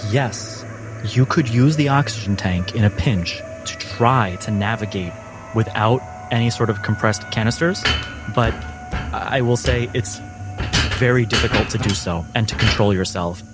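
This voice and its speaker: Low-voiced male game master over music. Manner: explanatory, deliberate. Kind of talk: narrating a tabletop role-playing game.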